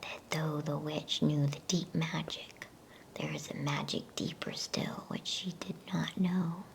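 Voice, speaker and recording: A woman voicing a great, wise and gentle male lion in a solemn, unhurried whisper for a storybook reading. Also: low-pitched, steady tone, vocal fry.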